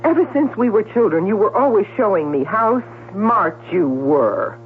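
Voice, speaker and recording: An actress playing an outwardly caring, secretly guilty woman in a 1970s radio drama, with expressive, theatrical delivery. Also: medium pitch, normal speed, lightly acted. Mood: defensive, desperate. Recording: tinny, hissy 1970s radio recording.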